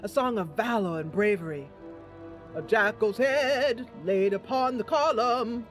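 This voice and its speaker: A woman's voice: on the high side, unhurried, soft background music, close to an everyday voice. A woman voicing a theatrical, enthusiastic fantasy bard in a game role-play, with dramatic, declamatory delivery. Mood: grand, inspired. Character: theatrical bard, grandly enthusiastic about songs of valor.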